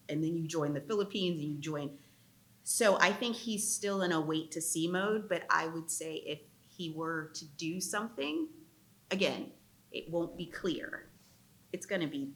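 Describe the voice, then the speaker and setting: Female panelist, low-ish voice, casual and animated delivery. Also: low-quality recording. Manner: lively, casual, conversational. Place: auditorium.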